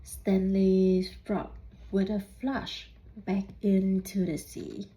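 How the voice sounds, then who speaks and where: A woman reading a children's storybook aloud in deliberate, halting phrases, putting questions to young listeners. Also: mid-range voice, flat delivery, mellow, low-quality recording. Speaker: student reading a picture book aloud.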